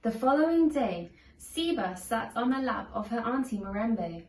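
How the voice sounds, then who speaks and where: A woman narrating a children's storybook aloud in a measured, deliberate storytelling manner. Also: on the high side, very animated. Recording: echoey room, distant from microphone.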